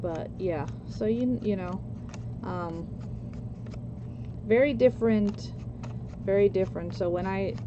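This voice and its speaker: A woman in her forties from Costa Rica, chatting slowly with viewers as she works on a paper craft. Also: medium pitch. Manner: slow, chatty, answers chat comments while working. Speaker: craft livestream host. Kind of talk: casual crafting chat with viewers. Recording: lo-fi with background noise.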